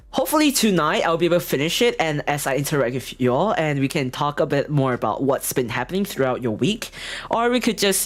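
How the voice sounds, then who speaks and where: A young woman VTuber chatting casually with her live chat. She giggles often, talks with many fillers and restarts, and sounds self-conscious. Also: sits low. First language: Mandarin. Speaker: VTuber streamer.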